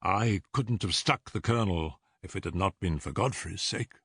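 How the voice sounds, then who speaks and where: Husky, earnest ex-soldier client played by a man. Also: deep voice, normal speed, a bit bright, dry recording, clean studio sound, exaggerated voice. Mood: blunt, agitated. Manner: blunt, earnest, agitated. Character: big, hearty young male ex-soldier client, bewildered and earnest. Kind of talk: audiobook character dialogue.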